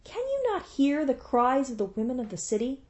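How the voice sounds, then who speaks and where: A woman voicing a grieving, raging young warrior king in a storybook reading, forceful and pleading. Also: high-pitched, big pitch swings, fairly quick, voice pushed up.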